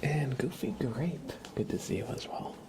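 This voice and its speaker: A man hosting an ASMR livestream in a breathy whisper and soft murmur, gentle, repetitive and unhurried. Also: medium pitch, background noise.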